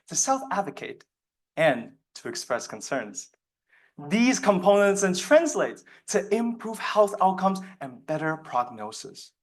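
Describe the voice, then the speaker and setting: A young man whose native language is Mandarin gives a rehearsed persuasive speech. His voice is fairly high for a man and moves widely in pitch. He phrases earnestly, leaning on key words like a student orator. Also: a bit bright. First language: Mandarin Chinese. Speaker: student competition speaker. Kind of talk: prepared persuasive public speech.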